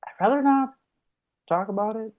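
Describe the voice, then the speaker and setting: A woman's voice: on the high side, normal speed, highs cut off, lightly acted. Direct, probing woman on a date acted. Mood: reluctant, wistful. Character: direct, curious date with a Mexican grandfather. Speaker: actor at a live script read. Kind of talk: conversational screenplay table read.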